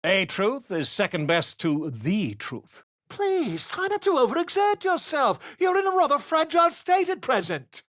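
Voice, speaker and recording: A man's voice: very high voice, huge pitch swings, a little slow, hardly any pauses, a bit bright, no room sound, clean studio sound, full character voice. An actor playing a pompous, flamboyant male author and chronicler who is inquisitive and fussy, speaking in a theatrical, florid and wordy way in a video game.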